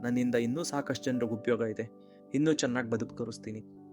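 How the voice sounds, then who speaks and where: A man's voice: mid-range voice, steady tone, fast talker, music in the background, close to an everyday voice. A man playing a humble, good-hearted, downhearted young man, speaking politely and earnestly in a cartoon story. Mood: reflective, hopeful. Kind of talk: dramatic dialogue in a cartoon short story.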